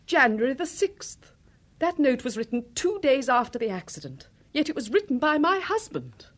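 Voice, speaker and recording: A woman playing an anxious, insistent wife in a radio drama, speaking earnestly and with deliberate emphasis. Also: sits high, big pitch swings, a bit bright, exaggerated voice. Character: anxious, insistent wife convinced her husband lives.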